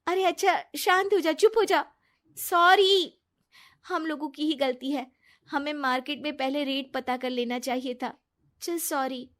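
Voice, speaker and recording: Woman acting a high-voiced, trusting young wife. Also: steady tone, a little slow, fairly bright tone. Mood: apologetic. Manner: earnest, pleading. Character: trusting, soft-hearted young wife, naive and apologetic.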